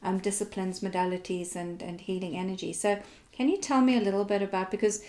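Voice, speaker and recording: This is a South African woman with a mid-pitched voice, hosting a live chat show. She talks informally and effusively, encouraging her guest and greeting listeners as she goes. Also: steady tone, quick pace, a little mellow. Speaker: talk show host.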